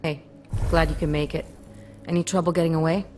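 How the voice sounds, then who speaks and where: A woman's voice: sits low, little pitch movement, fairly quick, a little mellow, slight background noise, lightly acted. An actress playing a hardened, wary female resistance operative in a video game, wry and world-weary. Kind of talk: video game cutscene acting.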